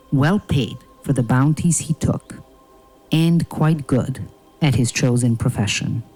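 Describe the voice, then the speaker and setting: A male narrator with a medium-pitched voice reads a storybook tale. His delivery is slow, deliberate and dramatic, with faint music playing behind him. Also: dark tone, poor audio.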